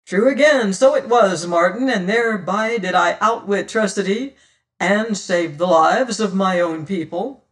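A man's voice: sits high, unhurried, a bit bright, far from the mic. A man reading an adventure story as a sly, self-assured pirate who taunts and lectures in archaic seafaring speech. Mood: mocking, cool. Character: sly, scornful, silver-tongued pirate rogue, mocking and self-assured. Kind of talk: dramatised audiobook reading of an adventure tale.

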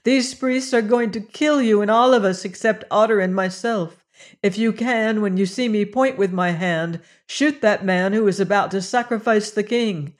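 A man's voice: high voice, moderate pace, close to an everyday voice. A man voicing a young woman posing as a goddess, proclaiming in a stately, solemn way. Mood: urgent.

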